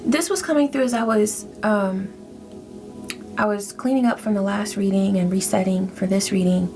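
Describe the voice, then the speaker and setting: A woman giving a spiritual tarot reading to her viewers, speaking in a soft, affirming way and drawing out her phrases. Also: mid-range voice, fairly even intonation, normal speed. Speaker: tarot reader. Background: background music.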